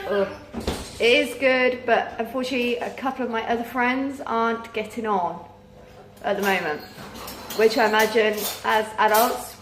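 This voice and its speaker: A British woman in her thirties chatting casually and informally in a rambling way, tired and losing her voice with a sore throat. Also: on the high side. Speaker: vlogger, mother. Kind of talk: casual chat to camera. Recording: roomy echo, clear background noise.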